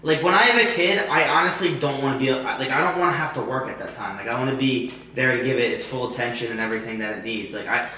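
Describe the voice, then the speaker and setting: Man, 22, bright tone, halting and rambling; recorded far off on a hidden camera in a very echoey room. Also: medium pitch, slight background noise. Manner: hesitant, rambling, many "like"s and restarts. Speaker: vlogger's boyfriend. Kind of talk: casual chat. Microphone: hidden camera across the room.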